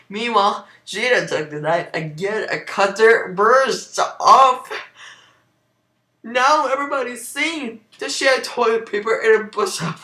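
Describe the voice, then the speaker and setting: Slow-paced man, bright voice in an echoey room. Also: high voice. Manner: sarcastic, sweary, often breaking into laughter. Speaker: amateur reader of fan fiction. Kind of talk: dramatic reading with mocking asides. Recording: roomy, echoey home recording.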